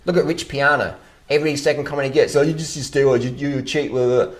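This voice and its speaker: A man's voice: mid-range voice, steady tone, fast. Blunt, profane man ranting casually. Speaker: online fitness commentator answering viewer comments. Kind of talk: casual unscripted rant.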